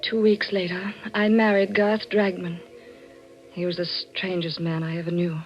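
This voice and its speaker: Actress as troubled wife in vintage radio mystery. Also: female voice, lowish voice, level tone, normal speed, lightly acted. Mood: somber, uneasy. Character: troubled wife narrating her dark mystery tale. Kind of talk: 1940s radio acting. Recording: muffled old-time radio recording, music behind.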